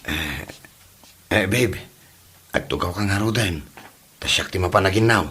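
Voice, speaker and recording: A man's voice: low voice, a little slow, bright, forceful tone, slightly rough, exaggerated voice. A man playing a meek, whiny, henpecked mama's boy husband in a comedy drama, stammering and exclaiming as he goes. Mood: hesitant. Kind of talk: Ilocano comedy drama acting.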